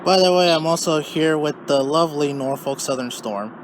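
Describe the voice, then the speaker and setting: A man's voice: fairly high pitch, normal speed, noisy background. A man hosting a hobby video, giving casual commentary as he checks radio equipment results.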